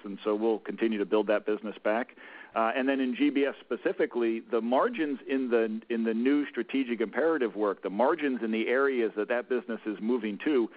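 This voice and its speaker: A man with a cold, sounding croaky, answering analyst questions on an earnings call with many halting restarts. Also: mid-range voice. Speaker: company executive answering analysts. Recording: tinny phone line.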